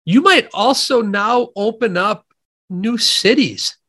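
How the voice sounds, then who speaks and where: A man from Wisconsin with a nasal Midwestern American accent, speaking as a guest economist on a podcast in a measured, thoughtful way. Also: high-pitched, pushed and bright. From Wisconsin, USA. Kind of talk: podcast interview, explaining ideas.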